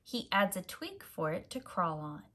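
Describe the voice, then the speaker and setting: A woman reading a picture book aloud to young children in an expressive, deliberate manner as a storyteller. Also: lowish voice. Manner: slow, expressive, simple deliberate phrasing. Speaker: storyteller, preschool teacher.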